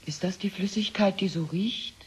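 A woman's voice: medium pitch, normal speed, slight background noise, natural voice, low-quality recording. An actress playing an artificially created young woman in a German radio play, formal, literal and coolly logical as she learns human feeling. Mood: cool, puzzled.

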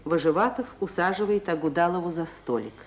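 Низкий женский голос радиоведущей спектакля. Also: female voice, lowish voice, brisk. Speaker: narrator of a radio play. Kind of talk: scripted narration of stage action, 1950s radio. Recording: old radio recording, faint hiss.

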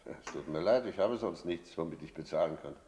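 A sly, talkative innkeeper in a radio play. His voice sits low, and he speaks with pointed, deliberate emphasis. He is middle-aged and wry, a storyteller who likes a shrewd deal. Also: animated, mellow, slight background noise. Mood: bargaining. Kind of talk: German radio play acting and first-person narration.

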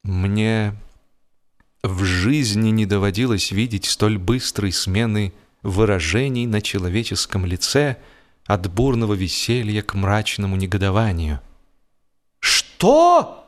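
A man narrating a humorous story as an audiobook reader, in an expressive, theatrical storytelling manner. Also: fairly low pitch, a little slow.

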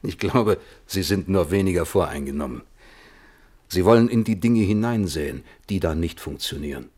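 Low-voiced, thoughtful physicist. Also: male voice, animated, a little mellow. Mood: dryly assertive. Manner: measured, reflective, precise. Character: weary, thoughtful male physicist of fifty under questioning. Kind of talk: German radio play, hearing-room testimony.

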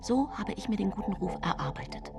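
An actress playing a seemingly pious, gentle yet secretly cold 19th-century housewife, confiding her story in a measured radio drama monologue. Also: lowish voice, huge pitch swings, vocal fry, exaggerated voice. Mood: intimate, confiding. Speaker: actress narrating in a radio play. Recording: music bed behind studio voice.

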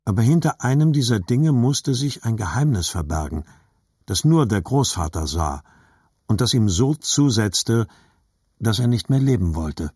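An actor playing a reflective adult narrator remembering his boyhood, reading literary narration in a measured, husky voice. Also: lowish voice, animated, a little mellow, dry recording, clean studio sound, exaggerated voice. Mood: wistful.

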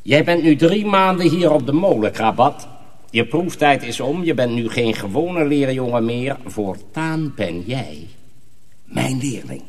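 A man playing a stern, menacing master sorcerer in a radio drama, commanding, deliberate and solemn. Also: medium pitch, animated, natural voice. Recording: long hall reverb.

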